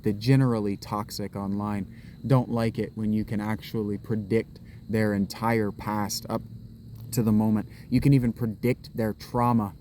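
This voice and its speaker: Low, mellow man rambling outdoors. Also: slight background noise. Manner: rambling and restarting, drifting into musing. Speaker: vlogger. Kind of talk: rambling spoken vlog monologue. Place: outdoors on a walk in public. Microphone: camera held while walking.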